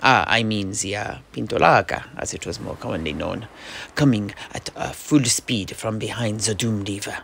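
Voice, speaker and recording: Gravelly mercenary knight telling a tale man acting. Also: on the low side, normal speed, fairly bright tone, slight background noise. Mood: eager recounting. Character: mercenary knight recounting his grandfather's sea adventure. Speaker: storyteller. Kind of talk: in-character dramatic narration for fantasy audio play.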